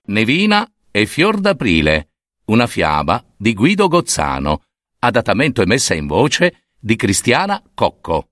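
A man's voice: on the low side, huge pitch swings, a little slow. A man reading a scripted programme introduction as an announcer. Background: music.

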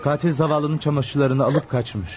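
A man's voice: fairly high pitch, fairly even intonation, normal speed, natural voice. An actor playing a dutiful junior policeman in a radio drama, reporting in a clipped, deferential way. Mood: dutiful, urgent. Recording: dull recording with loud crowd bustle.

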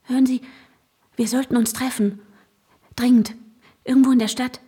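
An actress playing a distraught, insistent young woman searching for her missing fiancé in an intimate radio drama, often hushed and halting. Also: fairly high pitch, steady tone, lightly acted. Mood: urgent. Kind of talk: radio drama, intimate first-person narration and dialogue. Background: music.

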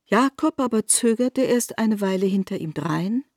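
A woman's voice: mid-range voice, animated, normal speed, a little mellow, dry recording, clean studio sound. A woman narrating a literary radio drama as a scripted storyteller.

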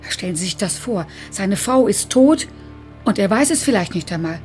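A woman's voice: mid-range voice, expressive, normal speed, a little mellow, soft background music, close to an everyday voice. An actress playing a chatty, easily dismayed woman carer in a radio drama, exclaiming and fretful but deferential.

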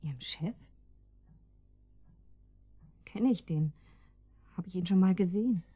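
A woman's voice: lowish voice, takes it slowly, warm tone, husky, no echo. A woman playing an anxious, naive housewife in a radio drama, speaking hesitantly and defensively. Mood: frightened, pleading. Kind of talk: German radio drama acting.

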